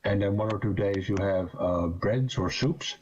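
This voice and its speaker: A young Dutch man with a fairly low voice and a Dutch accent chats casually, pausing often on fillers and restarting his sentences. His voice comes through a dull, lo-fi mic. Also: darkish tone, a little hiss or hum. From Netherlands. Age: young adult. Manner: hesitant, many fillers and restarts. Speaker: guest. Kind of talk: casual chat.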